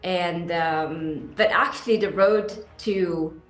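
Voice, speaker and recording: A woman's voice: fairly low pitch, takes it slowly, soft background music. Chatty woman from Sweden, echoey room. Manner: enthusiastic, hesitant with many fillers. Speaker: interview guest, equestrian athlete. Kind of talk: casual interview answers.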